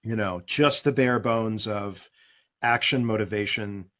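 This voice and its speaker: A man who is a guest voice actor, speaking in a thoughtful, relaxed way over a video call in a casual webinar chat. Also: lowish voice, measured pace. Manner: thoughtful, relaxed, with many fillers. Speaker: guest voice actor and demo producer.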